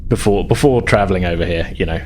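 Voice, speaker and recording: A man's voice: low voice, quick pace, darkish tone, a little hiss or hum. A man narrating casually as game master in a roleplaying game, improvising and hedging as he goes. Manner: casual, hesitant hedging with "sort of" and "like".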